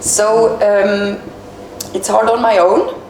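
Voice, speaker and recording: A woman's voice: medium pitch, steady tone, slow pace, a little mellow, roomy sound, a little hiss or hum, rough recording. A young woman with a Swedish accent, a guest in a casual interview, talking hesitantly with many fillers. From Sweden. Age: young adult.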